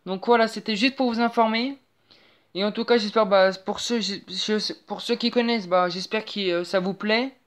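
A woman's voice: medium pitch, fairly even intonation, normal speed. A young woman hosting her own channel, chatting casually over a phone screen recording with lots of fillers and restarts. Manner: rambling, hesitant, many fillers and restarts. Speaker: channel host.

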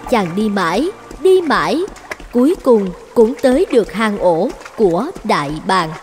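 A woman's voice: medium pitch, big pitch swings, unhurried, a little mellow, faint music behind. A woman narrating a scripted children's fairy tale.

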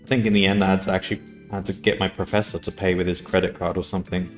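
Thirties male streamer, low voice, loose self-correcting chat, soft music under. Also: level tone, rapid-fire. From United Kingdom. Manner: rambling, self-correcting, laughs often. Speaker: coding livestreamer. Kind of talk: casual live-stream chat while coding. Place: home room at a desk.

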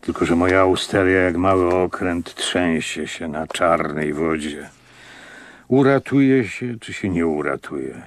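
A weary, very deep-voiced innkeeper. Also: male voice. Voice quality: husky. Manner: deliberate, resigned, weighty. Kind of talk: radio drama acting.

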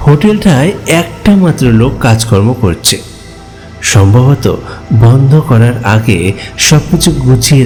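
A man playing a hungry, stranded village traveler, telling his ghost story in expressive, polite, conversational first-person narration and dialogue. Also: mid-range voice, close to an everyday voice. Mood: uneasy storytelling.

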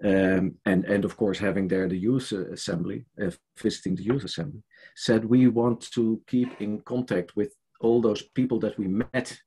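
A man's voice: fairly low pitch, fairly even intonation, moderate pace, dark tone, slightly rough. An older man from the Netherlands with a Dutch accent, speaking hesitantly with many fillers and restarts as an interviewee on a video call from his home study. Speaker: church leader interviewee. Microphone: headset on a video call.

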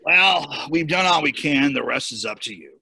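A man playing a brisk, slick, businesslike campaign adviser in an amateur cartoon table read. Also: a little high, expressive, vocal fry, pushed and bright, full character voice, low-quality recording. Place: indoors on a home video call.

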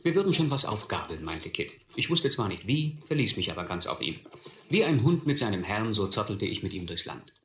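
An actor playing a reflective man recalling his runaway youth, narrating a radio play in measured, literary sentences. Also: on the low side, very animated, bright, forceful tone, roomy sound, a little hiss or hum, exaggerated voice. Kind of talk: first-person scripted narration in German radio play.

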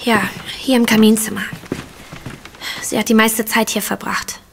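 A woman's voice: fairly high pitch, level tone, a little slow, creaky, a little hiss or hum. An actress playing a bitter, outspoken woman of a household in a radio play. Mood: subdued. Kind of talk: German radio play acting.

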